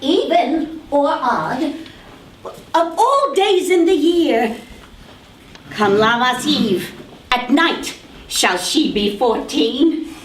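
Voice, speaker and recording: A woman's voice: high-pitched, animated, drawn-out words, long pauses, slight background noise, full character voice. A woman playing a rambling old nursemaid. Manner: rambling, chuckling, swinging between tender and loud. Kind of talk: stage acting of verse drama. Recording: echoey room from a distance.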